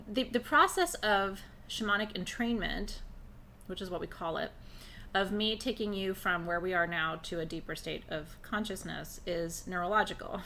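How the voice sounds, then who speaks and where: A middle-aged woman with a naturally low voice teaching a relaxed, conversational class on spiritual practice, pacing her words deliberately. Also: slight background noise. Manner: conversational, intentional pacing, laughs often. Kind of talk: live online class on shamanic drumming.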